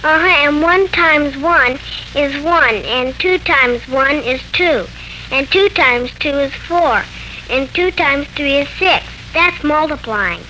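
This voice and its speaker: A woman's voice: high-pitched, slow, exaggerated voice. An actress playing a chatty, inquisitive seven-year-old girl in a 1940s radio serial, eager to show off. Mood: proudly reciting. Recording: noisy, bright 1940s radio recording.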